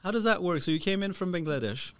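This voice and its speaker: A man hosting a casual interview, talking in halting phrases with many restarts. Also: high voice, lively intonation, a bit bright, no echo. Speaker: podcast host.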